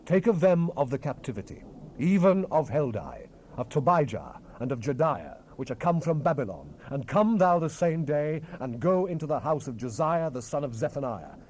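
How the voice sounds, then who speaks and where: A man's voice: on the high side, big pitch swings, moderate pace, darkish tone, voice pushed up. A man voicing a mighty, wrathful God, proclaiming commands majestically in a dramatized scripture recording. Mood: majestic, commanding. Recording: hissy 1970s cassette rip.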